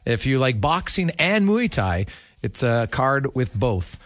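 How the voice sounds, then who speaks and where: A man hosting a casual sports interview, speaking haltingly with many filler sounds. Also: mid-range voice, very animated, a bit bright. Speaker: radio sports interview host.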